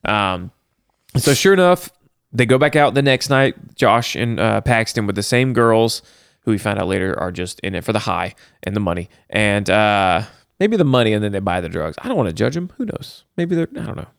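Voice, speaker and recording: A man co-hosting a casual podcast, recapping a movie with lively, laughing delivery. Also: lowish voice, normal speed, creaky, clean tone, dry recording, studio quality. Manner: animated, laughing, talks through the plot. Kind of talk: casual chat.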